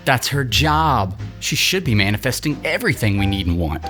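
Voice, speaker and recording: A man's voice: mid-range voice, big pitch swings, normal speed, fairly bright tone, faint music behind. A man playing a cocky, whiny, entitled young rich son in an audio drama, sarcastic and theatrical. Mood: sarcastic griping. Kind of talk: scripted audio drama acting.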